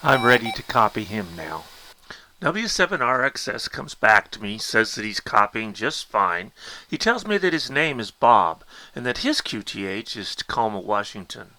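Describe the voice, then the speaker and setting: A man's voice: fairly low pitch, big pitch swings, moderate pace, bright, forceful tone, no room sound. A man narrating a step-by-step technical tutorial, explaining things directly to the learner as an instructor. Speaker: instructor demonstrating a Morse code keyer.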